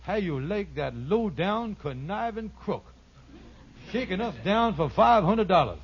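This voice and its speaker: An actor playing a scheming, fast-talking con man in a 1940s radio sitcom, with blustering, stammering, folksy ungrammatical speech. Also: high-pitched, expressive, breathy edge, exaggerated voice. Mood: indignant. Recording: muffled vintage radio recording.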